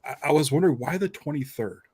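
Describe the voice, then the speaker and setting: A man's voice: fairly high pitch, expressive, fast, darkish tone, slightly rough. A man hosting a podcast in casual, informal chat, telling things loosely and conversationally. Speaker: podcast host.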